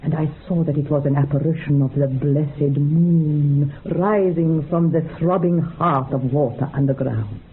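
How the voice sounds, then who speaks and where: A woman's voice: low voice, a little slow, few pauses. An actress playing a solemn, utterly convinced female mystic, speaking in grand, incantatory poetic phrases in a radio drama. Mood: rapt, reverent. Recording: tinny narrow-band radio sound, faint noise.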